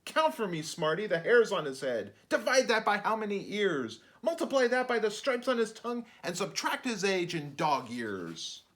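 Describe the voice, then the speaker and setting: A man's voice: high-pitched, very animated, normal speed, few pauses, a bit bright. A man playing an exasperated storybook author scolding his unruly creatures, indignant and blustering. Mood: fed up.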